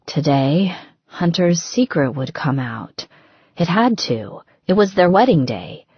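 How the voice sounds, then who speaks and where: A woman reading romance fiction as an audiobook narrator, with expressive, dramatic phrasing. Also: low voice, slightly rough, no echo, muffled recording.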